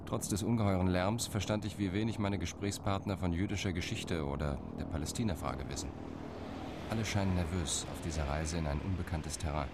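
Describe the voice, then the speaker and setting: An actor playing a delegation liaison in a radio play, speaking in a dry, ironic and composed way. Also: male voice, low-pitched, a little fast, heavy background noise, lightly acted.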